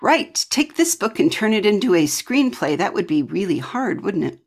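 A woman's voice: mid-range voice, sing-song, normal speed, a little mellow, husky. A woman asking questions and musing as a podcast panelist in a casual, conversational style.

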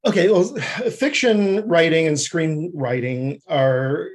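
Higher-pitched, slightly husky man explaining. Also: slow pace. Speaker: guest screenwriter. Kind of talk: interview answers, explaining his craft.

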